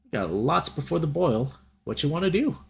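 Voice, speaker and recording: A man voicing a big, easygoing young male gator in a visual novel, gentle, with folksy, slangy talk. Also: on the high side, big pitch swings, normal speed, gravelly, pitched above the performer's own voice. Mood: playful.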